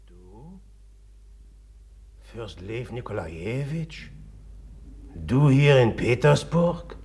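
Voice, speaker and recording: An actor in a German radio play, playing a brooding, volatile man who speaks haltingly and guardedly, repeating his words. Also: mid-range voice, big pitch swings, darkish tone, a little hiss or hum, full character voice. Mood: startled, uneasy.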